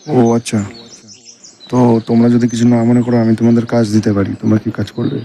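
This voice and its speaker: A helpful older labour boss from a comedy cartoon, acted by a man talks quickly in an even, little-varying tone. His speech is colloquial, avuncular and businesslike. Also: medium pitch, a little hiss or hum, natural voice. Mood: friendly, offering.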